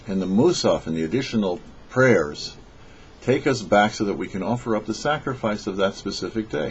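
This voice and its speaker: A man delivering a scripted religious teaching as a rabbi, in a measured, emphatic way with rhetorical questions. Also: on the low side, slight background noise. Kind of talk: scripted sermon read for a radio show. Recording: dull, lo-fi audio.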